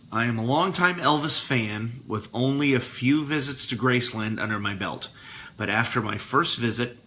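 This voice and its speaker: A man's voice: lowish voice, bright, forceful tone, a little hiss or hum. A man hosting a radio show, reading a listener's letter aloud expressively with the odd aside.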